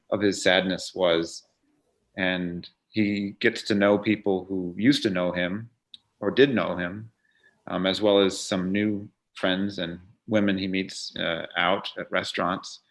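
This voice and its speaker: A man's voice: on the low side, fairly bright tone. A man interviewing an author, asking long, winding questions with restarts.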